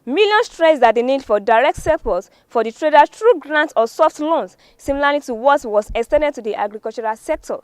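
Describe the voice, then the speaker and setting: A woman's voice: sits high, lively intonation, a little fast, hardly any pauses, dry recording. A woman with a Nigerian accent presenting a newspaper review, reading formally from the stories with occasional stumbles. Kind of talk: scripted news reading. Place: studio.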